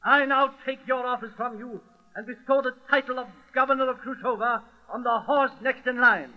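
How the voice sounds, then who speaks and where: An actor playing an imperious, vengeful governor, pompous and commanding in old radio drama style. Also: male voice, extremely high pitch, steady tone, normal speed. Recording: muffled lo-fi old radio recording.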